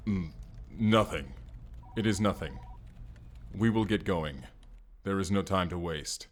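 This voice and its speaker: Low-voiced, stoic barbarian warrior played by a man. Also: takes it slowly, a little hiss or hum. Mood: guarded, troubled. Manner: formal, terse, avoids contractions. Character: stoic, solemn barbarian warrior, loyal cyberpunk operative. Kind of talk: first-person audio roleplay acting.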